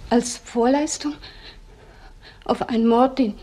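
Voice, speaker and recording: An actress playing a proud, trusting wife in a crime drama, speaking in husky, halting, deliberate phrases. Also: female voice, on the high side, fairly even intonation, warm tone. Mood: stunned, brooding. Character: proud, trusting wife defending her suspected husband. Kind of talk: German TV crime drama dialogue.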